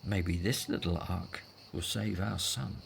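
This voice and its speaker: A man's voice: sits low, a little slow, creaky, slight background noise, exaggerated voice. An actor in a scripted audio drama playing a devout Hebrew husband and father, earnest and persuasive. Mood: reassuring, persuasive.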